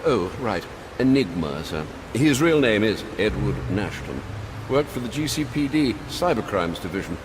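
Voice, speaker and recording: An actor playing a loyal manservant in a video game, formal and dry, with a concerned, measured delivery. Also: male voice, fairly low pitch, sing-song, noisy background. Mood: dry, informative.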